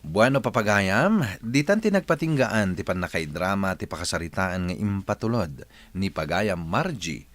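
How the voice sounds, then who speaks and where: A man's voice: low-pitched, sing-song, normal speed, no room sound. A Filipino man hosting a radio drama program with scripted delivery. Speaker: radio drama host and advice giver.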